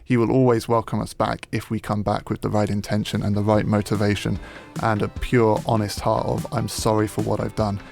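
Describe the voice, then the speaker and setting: Lowish, creaky man co-hosting a podcast. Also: flat delivery, fairly quick, a little mellow, soft background music. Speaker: podcast co-host and producer. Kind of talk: conversational talk on faith and sport.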